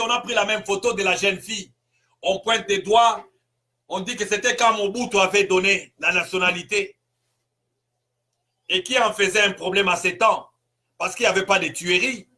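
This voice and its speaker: Impassioned high-voiced Congolese preacher. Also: male voice. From Congo (DRC). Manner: emphatic, rhetorical questions, bursts of shouting. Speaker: pastor. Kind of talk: impassioned political sermon.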